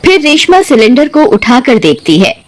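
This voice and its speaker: A woman's voice: high voice, sing-song, normal speed. A woman reading scripted narration for a cartoon ghost story.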